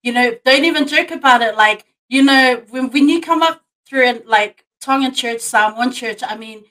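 A woman hosting a podcast interview, chatty and expressive, with lots of "like" and "you know". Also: on the high side, moderate pace, poor audio. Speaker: podcast host. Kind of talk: casual interview chat.

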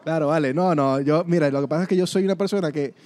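A man with a Venezuelan accent, hosting a casual question game and answering in an animated, joking way that often repeats words. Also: fairly high pitch, fast.

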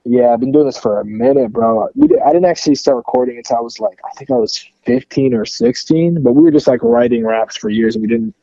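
Young man, early twenties, from Detroit, rapper guest, mellow voice, muffled line, hesitant slangy talk. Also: medium pitch, big pitch swings, quick pace, creaky, hardly any pauses, dry recording. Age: 20s. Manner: easygoing, hesitant with many fillers and slang. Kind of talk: casual phone interview chat.

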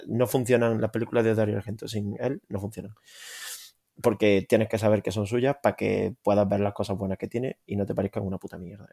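A man with a Spanish accent co-hosts a film podcast in a casual, crude way, sarcastic and full of swearing. His voice sits low, with a gravelly, fried edge. His tone is dark and mellow. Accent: Spanish from Spain. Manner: sarcastic, profane, rambling rants. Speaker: podcast co-host.

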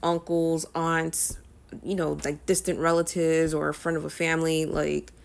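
A woman's voice: low-pitched, level tone, moderate pace, vocal fry. A young woman talking casually to camera as a music vlogger, rambling with frequent ums and uhs.

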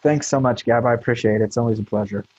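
Husky frank American man on a dull line. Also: medium pitch, fast talker, a little mellow, dry recording. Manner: frank, rambling with restarts and fillers. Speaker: guest, marketing consultant. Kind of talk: casual interview talk.

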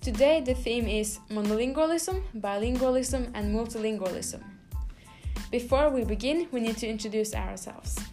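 A woman's voice: fairly high pitch, normal speed, warm tone. Young Norwegian woman hosting, faint music. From Norway. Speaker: podcast co-host. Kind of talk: student podcast, partly read.

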